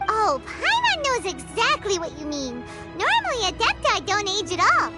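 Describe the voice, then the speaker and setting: A woman voicing a small, eager, bubbly childlike fairy companion in a video game, squeaky and chatty. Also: wildly swooping pitch, moderate pace, full character voice. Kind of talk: video game character acting. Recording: loud music behind the voice. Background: loud tinkling game music.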